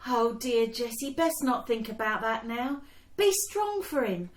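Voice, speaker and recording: A woman plays a timid, kindly young woman in a period radio play. Her voice is high and swooping, gentle and proper in manner, with a clear echo around it. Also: sing-song, unhurried. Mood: comforting. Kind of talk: period war radio drama acting. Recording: echoey with faint noise.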